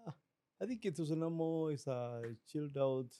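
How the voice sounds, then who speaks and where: A middle-aged Kenyan man with a Kenyan accent, a business guest talking in a measured, reflective way and slipping into Swahili. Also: a little high, mellow, smooth. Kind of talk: relaxed interview talk.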